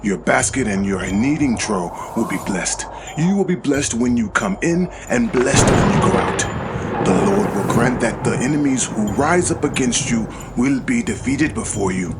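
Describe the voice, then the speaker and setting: A man's voice: medium pitch, expressive, measured pace, very noisy. A man narrating scripture as a dramatized audio Bible reading, solemn and declamatory in delivery. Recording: music behind the voice. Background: background music.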